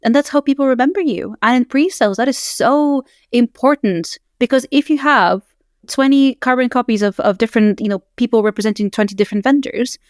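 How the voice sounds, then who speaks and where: A woman's voice: fairly high pitch, fairly quick, dry recording, studio quality. A woman hosting a podcast who talks in an emphatic, persuasive way. She builds her points up in lists. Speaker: podcast host. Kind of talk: casual podcast conversation.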